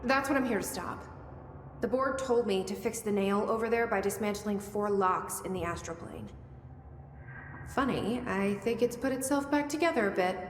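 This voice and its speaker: Actress as no-nonsense director, echoing hall. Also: female voice, medium pitch, normal speed, close to an everyday voice. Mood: businesslike. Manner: matter-of-fact, dry. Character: no-nonsense young woman director on a mission. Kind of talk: video game dialogue. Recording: echoey hall, faint ambient noise.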